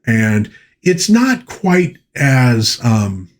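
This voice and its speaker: A man's voice: medium pitch, very animated, very slow. A man hosting a casual review of old pulp fiction, talking conversationally to viewers as an enthusiastic fan and often correcting himself as he rambles. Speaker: host of a pop culture channel reviewing old pulp fiction. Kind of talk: casual rambling book review to viewers, with short read-aloud passages.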